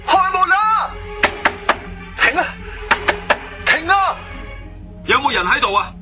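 A man's voice: extremely high pitch, big pitch swings, slow, over loud music, full character voice. An actor playing a desperate, trapped man calling out in a Cantonese radio drama.

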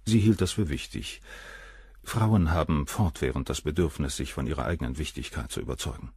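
A cold, cynical famous surgeon. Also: male voice, rumbling low, a little fast, a little mellow, breathy edge, no room sound, exaggerated voice. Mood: cool. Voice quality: cool, cynical, dismissive. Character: cold arrogant famous male surgeon, middle-aged. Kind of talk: radio play acting.

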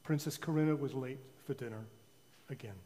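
A man telling a children's story live in a theatrical way, with deliberate pauses. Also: mid-range voice, mellow. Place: large church sanctuary. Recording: reverberant church hall.

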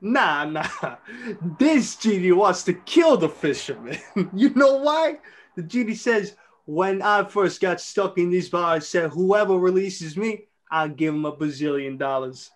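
Man, somewhat high voice, playful storyteller role, amateur script reading. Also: lively intonation, a little slow.

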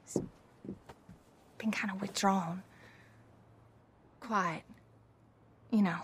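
An actress playing a weary rancher's wife and mother in a video game, imploring and soft-spoken. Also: mid-range voice, steady tone, drawn-out words, noisy background. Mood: sad, hushed. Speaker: game character. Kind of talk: video game cutscene acting.

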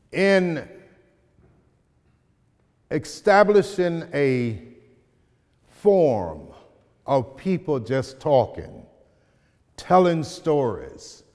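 A middle-aged man telling stories and reciting his poetry with slow, deliberate phrasing and dramatic pauses, recorded by a distant camera in a large hall. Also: on the high side. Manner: slow, deliberate, dramatic pauses, rising to loud emphasis in verse. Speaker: poet and community speaker. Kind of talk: storytelling talk and spoken-word poetry. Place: large bright hall with tall arched windows, indoors. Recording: hall reverb from a large room.

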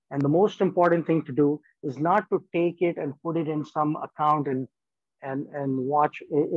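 A man's voice: a little high, dry recording. A man in his fifties, an insurance speaker, giving an estate planning lecture to a community group over a video call, gesturing and walking through money examples step by step. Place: small room at home, plain wall behind. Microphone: laptop webcam on a video call. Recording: dry, slightly dull video-call audio.

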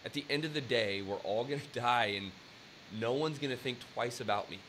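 A man in his thirties hosting an interview over webcam, talking in a casual, energetic pep-talk style with swearing. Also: mid-range voice, a little fast. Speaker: interview host. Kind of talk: casual podcast chat. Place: indoor room with brick wall. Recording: faint hiss on video call audio.

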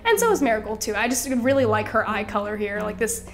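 A woman's voice: a little high, animated, fast. A young woman chatting casually while she builds characters in a game, a little stuffy from being sick, rambling with lots of "like" and "you know" and small laughs. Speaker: Sims gameplay creator. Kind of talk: casual chat over gameplay.

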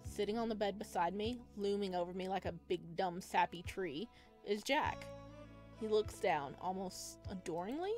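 A woman with a mid-range voice plays through a visual novel. She reads the text aloud in a playful, expressive way and adds chatty reactions, with music clearly behind her. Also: medium pitch, moderate pace. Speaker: streamer playing a visual novel.

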